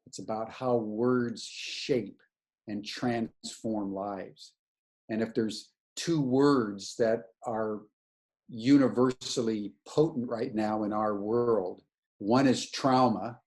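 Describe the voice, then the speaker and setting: A man's voice: fairly low pitch, steady tone, slow, a little mellow. A man giving an earnest, searching spiritual lecture over a video call, often restarting his sentences. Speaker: webinar lecturer.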